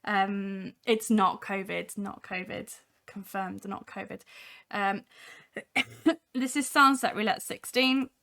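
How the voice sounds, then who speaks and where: A young woman hosting a casual software demo livestream, talking from home with a voice made husky by a cold, chatty with many ums. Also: mid-range voice, fairly even intonation, slow pace. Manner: chatty, many ums, reads chat aloud. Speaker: livestream host.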